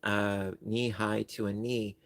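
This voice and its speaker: A man's voice: on the low side, flat delivery, slow, fairly bright tone, dry recording, studio quality. A man from New York with a New York accent, rambling and joking at his own expense while chatting casually on a livestream. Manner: rambling, self-deprecating, joking. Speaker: livestream host.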